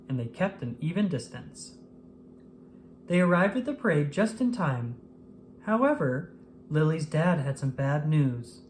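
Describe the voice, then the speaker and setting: A woman narrating a children's picture book aloud in an expressive manner, paced for young listeners. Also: low voice, a little hiss or hum. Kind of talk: scripted storybook narration.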